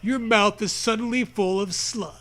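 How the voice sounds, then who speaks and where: A man's voice: high voice, moderate pace, sharp tone. A man reading a silly adventure story aloud, playfully dramatizing it and trading casual banter. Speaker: co-host reading aloud a choose-your-own-adventure parody.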